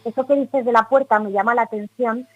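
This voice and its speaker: A woman's voice: on the high side, steady tone, fairly quick, dry recording, muffled recording. A woman with an accent from Spain giving opinionated, conversational commentary as a radio panelist.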